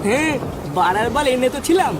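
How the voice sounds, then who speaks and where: Actor doing bossy cartoon ringleader, high voice, talks quick, coarse and impatient, really noisy behind. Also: animated. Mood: bossy, irritated. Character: bossy, crude cartoon village ringleader, impatient drinking buddy. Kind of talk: comic cartoon dubbing.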